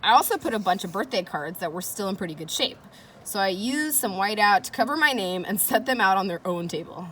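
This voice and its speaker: Woman reading a children's book aloud, lively intonation. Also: mid-range voice, a little fast, a little hiss or hum. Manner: engaging young listeners with questions and commentary. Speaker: read-aloud host. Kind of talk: reading a children's chapter book aloud with chatty asides to listeners.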